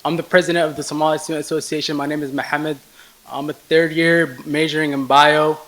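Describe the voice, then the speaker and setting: A man's voice: on the high side, level tone, fairly quick, a bit bright. A man in his early twenties, a student organizer, giving a casual welcome speech at an event. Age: early 20s.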